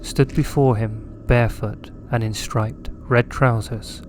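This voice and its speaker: A man giving scripted audio drama narration in a deliberate, dramatic storytelling manner. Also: mid-range voice, steady tone, background noise. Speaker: narrator and writer. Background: loud music.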